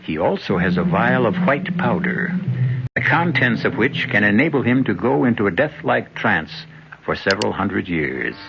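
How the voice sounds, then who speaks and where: Low-voiced male radio drama narrator. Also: sing-song, moderate pace, creaky. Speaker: host and narrator. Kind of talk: dramatic scripted narration, old-time radio. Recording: muffled radio recording with music behind. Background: music.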